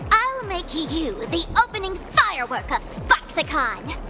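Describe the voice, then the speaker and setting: A woman's voice: very high voice, sing-song, measured pace, heavily acted. A woman voicing a playful, taunting young female streamer villain in a video game, with a teasing, mocking and theatrical manner. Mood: scornful. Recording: game audio with music underneath.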